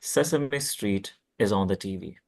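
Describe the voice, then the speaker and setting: A man's voice: fairly low pitch, unhurried, gravelly, a little mellow. A man reading scripted stage directions at a table read, plainly and with occasional stumbles.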